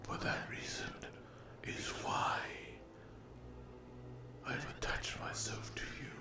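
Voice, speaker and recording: A man voicing a possessive, menacing sleep paralysis demon in an ASMR roleplay, whispering in a domineering, taunting way. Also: lightly acted. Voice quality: breathy whisper with low creaky undertone. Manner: slow, deliberate, domineering, taunting.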